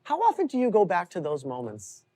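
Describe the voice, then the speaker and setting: Low, mellow-voiced woman interviewer. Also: wildly swooping pitch, fairly quick, no room sound. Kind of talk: news feature interview.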